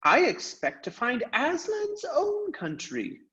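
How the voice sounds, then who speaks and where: A tiny storybook mouse speaks in a very high voice. He is valiant and high-spirited, with formal, courtly phrasing. His delivery is earnest and solemn, on a somewhat muffled recording. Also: wildly swooping pitch, takes it slowly, sharp tone, exaggerated voice. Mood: lofty, longing.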